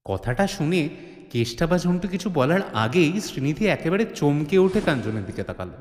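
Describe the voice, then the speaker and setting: Animated male storyteller, reverberant. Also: a little high, moderate pace. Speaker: narrator. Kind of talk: dramatic scripted storytelling for a comic mystery audio drama. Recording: roomy, long studio reverb.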